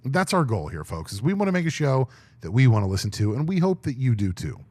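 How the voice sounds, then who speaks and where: A young man with a deep voice, co-hosting a podcast in casual, talkative chat. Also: quick pace, dry recording. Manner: talkative, animated. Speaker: podcast co-host.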